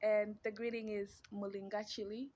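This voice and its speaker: A woman's voice: medium pitch, flat delivery, normal speed, a little mellow, dull audio. A woman, an interviewee, explaining her upbringing patiently and affirming often. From Zambia. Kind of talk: casual interview.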